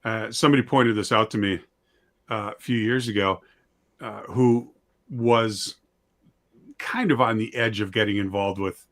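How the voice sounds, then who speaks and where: Low-pitched man in casual discussion. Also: steady tone, unhurried, pauses often, fairly bright tone.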